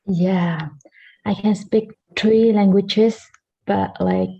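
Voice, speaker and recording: A woman whose first language is Taoi, speaking English slowly and easily in a casual group chat. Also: medium pitch, steady tone, gravelly, mellow, breathy edge, highs cut off. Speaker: participant.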